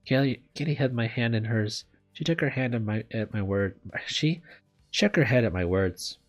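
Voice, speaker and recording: A man's voice: mid-range voice, animated, normal speed. A man narrating a visual novel as a let's player, sometimes stumbling and rereading words. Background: music.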